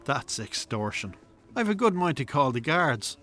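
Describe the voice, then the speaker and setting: A man's voice: fairly high pitch, very animated, a little slow, pushed and bright, exaggerated voice. A man playing a callous Irish pub owner in a radio drama, dismissive and defiant.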